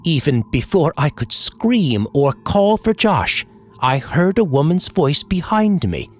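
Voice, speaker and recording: Male horror narrator, old-time radio storytelling, lively pitch, unhurried pace, music underneath.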